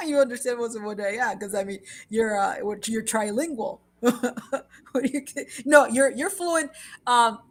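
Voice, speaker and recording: A woman's voice: a little high, unhurried. Rambling woman chatting on a livestream. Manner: rambling, repeats herself, switches between English and Spanish; laughs often. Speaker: livestream host. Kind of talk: casual chat.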